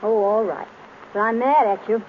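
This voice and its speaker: A woman's voice: on the high side, moderate pace, close to an everyday voice. Woman playing a coaxing wife, muffled old radio. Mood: mildly cross. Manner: teasing, nudging. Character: playful, coaxing, persistent detective's wife. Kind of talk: 1930s radio drama acting. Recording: dull, noisy old radio recording.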